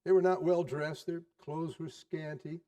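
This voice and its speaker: A man narrating a classic story in a theatrical style, with dramatic, deliberate phrasing and varied emphasis. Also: fairly high pitch, steady tone, dark tone, recorded from a distance.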